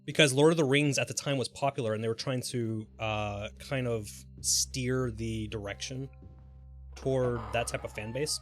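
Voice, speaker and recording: A man's voice: medium pitch, fairly even intonation, moderate pace. A man chatting casually and informally on a live gaming stream, speculating in a rambling way. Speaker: game livestreamer. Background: background music.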